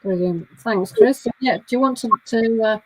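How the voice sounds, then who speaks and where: A woman hosting a live online panel, friendly and brisk as she prompts her guests. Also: on the high side, darkish tone, breathy edge, low-quality recording. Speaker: host. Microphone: video call.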